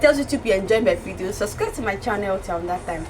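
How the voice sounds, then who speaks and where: A woman's voice: mid-range voice, animated, fast talker, noisy background. A young Nigerian woman with a Nigerian accent, chatting casually to camera as a travel vlogger and laughing often as she talks.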